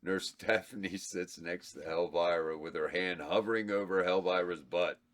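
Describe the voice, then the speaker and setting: A man's voice: sits low, steady tone, unhurried, fairly bright tone. A man reading stage directions aloud at a table read, laid-back and chuckling. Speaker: script reader and cast member.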